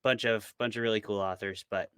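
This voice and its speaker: A man's voice: lowish voice, fairly even intonation, brisk, fairly bright tone, a little hiss or hum. A man being interviewed as a podcast co-host, explaining things in a casual, informal way.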